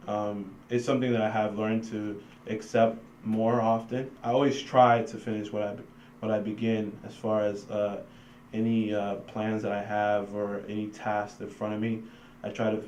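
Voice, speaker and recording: A young man with an American accent giving a personal testimonial as a student, with hesitant pauses. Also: on the low side, darkish tone. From Washington, D.C., United States. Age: young adult. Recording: echoey room, faint background noise.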